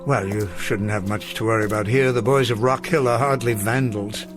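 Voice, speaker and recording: An actor playing a weary, self-doubting elderly schoolteacher, speaking formally and eloquently in a radio drama. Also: male voice, lowish voice, fairly even intonation, moderate pace, music in the background, close to an everyday voice. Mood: courteous, wistful.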